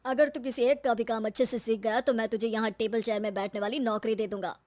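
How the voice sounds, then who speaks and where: A brisk male bank manager, put on by a woman narrator. He is casually authoritative and a little patronising, yet fair, as in a cartoon story. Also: fairly high pitch, fairly even intonation, a little mellow, lightly acted. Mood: appraising.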